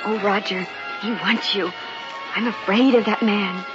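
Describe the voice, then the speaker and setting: A woman's voice: medium pitch, fairly even intonation, unhurried, close to an everyday voice. Woman playing an anxious, pleading young wife. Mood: afraid. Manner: earnest, pleading. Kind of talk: old-time radio horror acting. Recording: muffled vintage radio broadcast with music.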